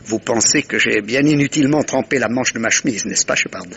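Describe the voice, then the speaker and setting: An actor playing a shrewd, self-satisfied, courteous middle-aged detective in a vintage French radio mystery, with theatrical, pointed pauses. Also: male voice, mid-range voice, gravelly, fairly bright tone, muffled recording, slight background noise.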